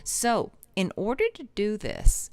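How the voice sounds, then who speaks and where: A woman's voice: mid-range voice, wildly swooping pitch, slow, a little mellow, faint music behind. A woman teaching a live singing lesson, chatting with viewers in an encouraging way and laughing easily.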